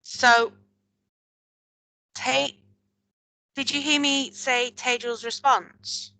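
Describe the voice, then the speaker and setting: A woman moderating an online candidate question session, brisk and polite, over a video call. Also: high-pitched, fairly even intonation, pushed and bright, no room sound, rough recording. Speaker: hustings moderator.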